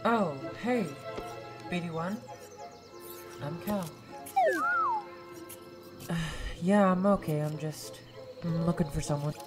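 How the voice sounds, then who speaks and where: A woman playing an earnest, gentle young Jedi on the run in a video game, speaking in a hesitant, reassuring way. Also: fairly low pitch, slow pace, long pauses, over music. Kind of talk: cinematic video game dialogue.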